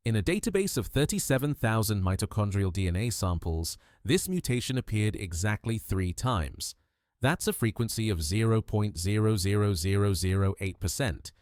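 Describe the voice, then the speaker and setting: A man's voice: lowish voice, a little mellow, no room sound, clean studio sound. A man giving scripted history documentary narration with dramatic storytelling in punchy short phrases.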